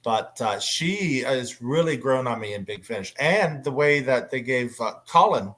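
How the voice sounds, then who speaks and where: Guy hosting a casual fan panel, medium pitch, keen but keeps halting as he talks. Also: lively intonation, a bit bright. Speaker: convention panel host. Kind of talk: casual panel discussion about audio dramas.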